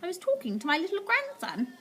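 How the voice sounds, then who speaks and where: A woman voicing a warm, brave elderly grandmother in a storybook, high and tender. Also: huge pitch swings, a little fast, heavily acted. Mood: calm.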